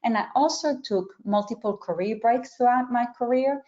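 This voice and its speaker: A middle-aged woman with a mixed Israeli, Australian and American accent, hosting a webinar and giving clear step-by-step instructions. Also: fairly high pitch, dark tone, lo-fi sound. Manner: clear and instructive, with some filler pauses. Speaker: webinar host and career coach. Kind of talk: webinar introduction.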